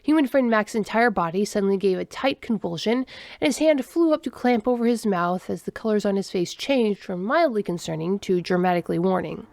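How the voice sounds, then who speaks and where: A woman reads a scripted story as its narrator. Her pitch rises and falls in a lively way and often settles into creak. The sound is dry and close, with no room around it. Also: brisk, dry recording.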